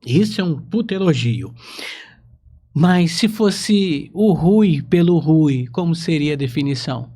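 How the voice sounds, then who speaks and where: A podcast interviewer who talks slowly and deliberately, at a middling pitch. The delivery is casual and informal, as in a relaxed conversation with a guest.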